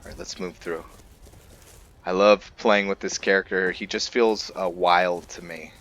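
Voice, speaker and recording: Slow, low-pitched man gaming aloud. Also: fairly even intonation, a bit bright. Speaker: host of a video game roleplay series. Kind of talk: casual let's-play commentary.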